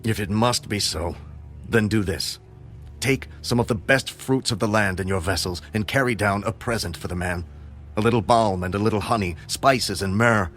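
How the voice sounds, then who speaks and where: Man acting an old, weary, deep-voiced patriarch. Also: a bit bright, close to an everyday voice. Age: older. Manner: grave, commanding. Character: old patriarch father, weary, reproachful, resigned. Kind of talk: dramatized audio Bible acting.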